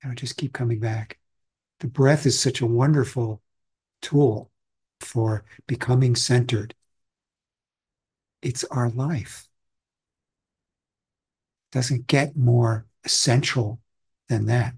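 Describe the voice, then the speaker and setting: A man from Austria, a meditation teacher, giving a calm, reflective and conversational Zen dharma talk. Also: medium pitch, takes it slowly, gravelly, long pauses, breathy edge.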